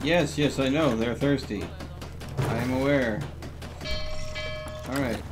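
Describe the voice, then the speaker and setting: A man's voice: medium pitch, slow, over music. A man streaming a video game, giving casual commentary as he talks to himself and chats to viewers.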